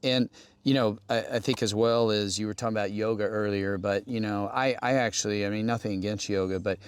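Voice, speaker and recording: A man's voice: fairly low pitch, fairly even intonation, fairly quick, a little hiss or hum. A man hosting an interview in a casual, conversational way, often checking in with his guest as he talks. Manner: informal, checks in with "you know" and "right?". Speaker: interview host.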